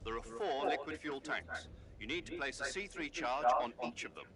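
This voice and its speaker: An actor playing an authoritative military commander in a video game, briefing in a firm, deliberate, instructive way. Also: male voice, medium pitch, lively intonation, lightly acted. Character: authoritative male military commander giving mission orders. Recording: loud music under the voice.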